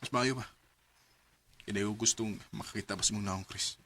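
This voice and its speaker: An actor playing a heartbroken young man in a radio drama, talking emotionally and turning from shouts to mutters as he drinks to forget. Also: fairly low pitch, steady tone, moderate pace, slight background noise. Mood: hurt.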